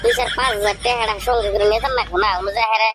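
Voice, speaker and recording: A man voicing a scheming, pushy village bachelor in a comic cartoon, with a pitched-up voice and a rural regional Bengali accent, talking in a colloquial, badgering way. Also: fairly even intonation, rapid-fire, pushed and bright, a little hiss or hum. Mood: pushy, scolding.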